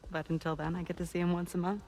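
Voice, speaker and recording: A woman acting as a reassuring, wistful character in a game drama. Her voice sits low and stays flat and understated, with faint noise in the background. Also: fast talker, natural voice. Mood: wistfully hopeful. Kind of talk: video game cutscene acting.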